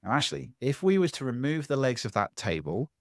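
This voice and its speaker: A man, a public speaking coach giving a lively interview answer from home, acting out voices as he talks. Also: medium pitch, brisk, gravelly, dry recording, clean studio sound. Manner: animated, acts out other people's voices.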